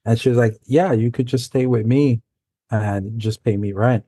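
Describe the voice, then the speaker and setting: A man from New York City whose first language is Spanish, telling stories as a guest in a relaxed, rambling way with frequent self-corrections. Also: mid-range voice, normal speed, breathy edge, no room sound. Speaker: guest, science fiction author. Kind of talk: casual storytelling in an interview.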